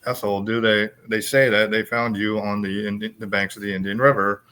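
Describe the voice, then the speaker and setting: Middle-aged male podcast host, lower voice, rambling anecdotal delivery. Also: flat delivery, a little fast, pushed and bright, smooth. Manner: rambling, filler-heavy storytelling. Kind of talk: casual chat, storytelling.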